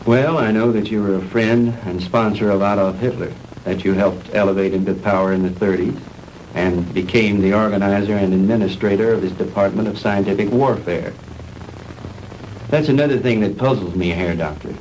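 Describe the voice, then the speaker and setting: A man playing an earnest low-voiced American investigator. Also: normal speed, a little mellow, close to an everyday voice. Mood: measured. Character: earnest, indignant male investigator confronting a villain. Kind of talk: 1960s B-movie acting. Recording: noisy old 1960s film soundtrack.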